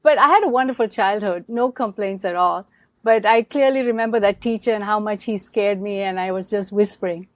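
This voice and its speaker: A middle-aged woman from India, a podcast guest telling her story in an animated way and acting out the people she quotes. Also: medium pitch, brisk, dry recording. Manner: animated storyteller who acts out quoted people, laughs often. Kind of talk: storytelling interview.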